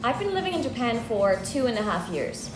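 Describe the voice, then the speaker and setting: A woman's voice: on the high side, brisk, music in the background. Young woman, echoey room. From Austria. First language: German. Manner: relaxed, thoughtful, many ums. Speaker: guest. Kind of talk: casual interview answers.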